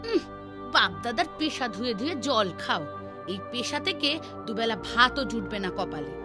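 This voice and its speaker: A woman's voice: on the high side, lively intonation, moderate pace, lightly acted. A woman playing a practical village housewife in a cartoon, bossy but caring, with a nagging, exclamatory way of talking. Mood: irritated. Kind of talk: cartoon voice acting. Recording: music behind the voice.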